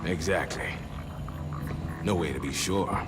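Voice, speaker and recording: An actor playing a brash, joking veteran soldier in a video game cutscene. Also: male voice, deep voice, slow. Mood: gruff. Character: gruff veteran male soldier, wisecracking sergeant. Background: music score.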